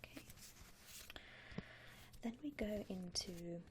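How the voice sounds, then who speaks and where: A woman playing a gentle vampire coffin saleswoman in a whispered ASMR roleplay, unhurried, with hesitant pauses and soft hums. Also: mid-range voice, background noise, natural voice. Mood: soft, confiding. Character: softly whispering female vampire coffin saleswoman, attentive and discreet. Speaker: ASMR performer playing a shop consultant.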